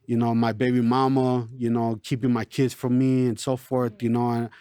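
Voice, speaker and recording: A man born in Chicago and raised in El Paso, a rapper talking casually in an interview, relaxed and streetwise, always saying "you know". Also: medium pitch, normal speed, clear, resonant tone, dry recording, studio quality. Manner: laid-back, streetwise, lots of "you know". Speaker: local rapper, interview guest.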